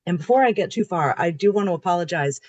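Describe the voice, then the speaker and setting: Hoarse woman presenting at a meeting. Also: fairly low pitch, lively intonation, fast, darkish tone, no room sound, muffled recording. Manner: methodical, explanatory, reading from slides. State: hoarse from a cold. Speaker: town assessor presenting to the board. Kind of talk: formal public meeting presentation.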